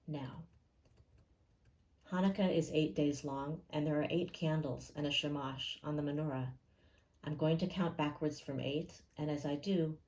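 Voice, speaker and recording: A woman leading a guided meditation in a calm, gentle and unhurried way, leaving pauses between phrases. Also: low voice, poor audio.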